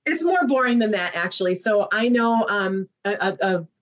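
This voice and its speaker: A woman's voice: mid-range voice, normal speed, lo-fi sound. A woman in her thirties, an actress guest chatting casually on a podcast and acting out other people's lines as she tells stories. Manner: animated storyteller acting out quotes.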